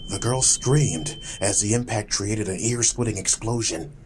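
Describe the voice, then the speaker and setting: A man narrating a fantasy audiobook in a dramatic, measured, literary storytelling style. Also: lowish voice, background noise. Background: music.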